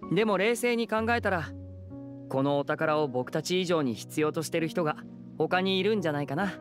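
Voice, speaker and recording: A man voicing a suave young magician in a game, gentle and reasonable, with a smooth, persuasive manner. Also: on the high side, brisk, music in the background, close to an everyday voice. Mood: gently persuasive. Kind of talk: game character acting.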